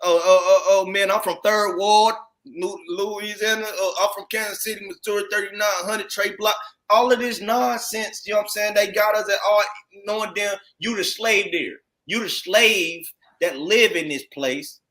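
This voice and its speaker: A man's voice: high-pitched, fairly even intonation, moderate pace, fairly bright tone. An American man giving informal religious teaching as a congregation teacher, emphatic and repetitive, often checking in with rhetorical tag questions. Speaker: congregation teacher commenting on read text.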